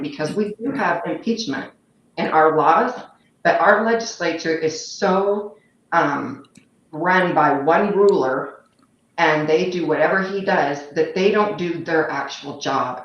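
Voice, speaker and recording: A woman from Utah giving emphatic, insistent, unscripted political commentary, recorded with muffled, echoing sound. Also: deep voice. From Utah, United States. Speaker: political panelist. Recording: muffled, heavily echoing room audio.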